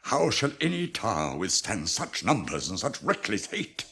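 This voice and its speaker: A weary old warrior king with a British accent in a classic radio drama. The voice is gravelly and swings widely in pitch, grave and declamatory in archaic phrasing. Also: huge pitch swings, moderate pace, bright, forceful tone, full character voice. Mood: brooding, doubtful.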